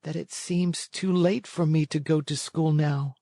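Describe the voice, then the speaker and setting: A man voicing an eager, naive boy marionette for a storybook, pleading and excitable with a touch of whine. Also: a little high, unhurried, vocal fry, no room sound, studio quality, voice pushed up. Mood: whining.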